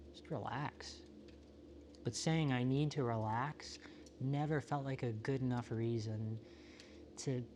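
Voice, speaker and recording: A man playing a solitary, introspective apocalypse survivor in a found-footage monologue, talking in a halting, self-questioning, confessional way. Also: mid-range voice, darkish tone, slight background noise, lightly acted. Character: solitary, world-weary, introspective male survivor of a quiet apocalypse.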